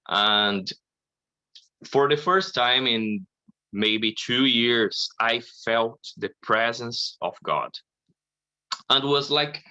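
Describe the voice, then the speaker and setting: A man from Brazil, giving a halting, earnest personal faith testimony at a conference. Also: medium pitch, sharp tone, dry recording, highs cut off. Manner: slow, halting, earnest, with frequent "you know".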